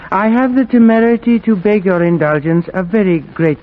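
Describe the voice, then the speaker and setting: An actor playing an obsequious, unhinged asylum patient in a 1940s radio drama, speaking in florid formal phrases. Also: male voice, sits high, normal speed, lightly acted. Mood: eager, pleading. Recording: old narrow-band radio recording.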